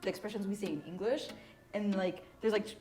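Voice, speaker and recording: A woman who grew up in Mexico, hosting a casual chat in a restaurant. She talks in a lively way, laughs easily and uses many fillers. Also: medium pitch, fairly quick, over music. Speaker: host.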